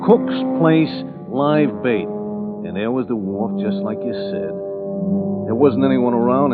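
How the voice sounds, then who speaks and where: Man acting a slow-witted American gangster on tinny old radio. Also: mid-range voice, natural voice. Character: simple-minded, chatty, apologetic male kidnapper gangster. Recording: muffled vintage radio broadcast, loud music behind.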